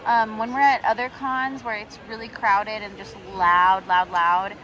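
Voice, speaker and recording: A woman's voice: mid-range voice, little pitch movement, measured pace, a bit bright, low-quality recording. A woman artist chatting casually at her convention table. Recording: crowd chatter behind.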